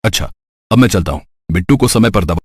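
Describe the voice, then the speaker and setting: A man's voice: low-pitched, expressive, fast talker, a little hiss or hum. A man playing a dutiful, reassuring doctor in a cartoon story. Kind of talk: Hindi cartoon story voice acting.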